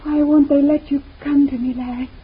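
Woman acting delirious injured wife, faint and yearning, high voice, tinny vintage radio sound. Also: level tone, normal speed, natural voice. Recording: tinny old radio recording from off-mic.

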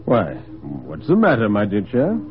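A man voicing a genial, courteous gentleman host in a dramatic radio story, on a tinny, noisy old recording. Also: on the low side, huge pitch swings, moderate pace, music in the background. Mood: smoothly solicitous. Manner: courteous, chatty, solicitous. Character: genial, urbane gentleman host, sly and commanding.

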